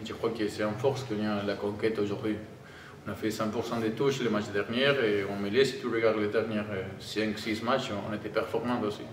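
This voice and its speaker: A man with an Argentine Spanish accent, speaking halting non-native French as a rugby player answering press questions. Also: lowish voice, level tone. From Argentina (Tucumán, in the north). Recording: roomy, distant microphone, audible background noise.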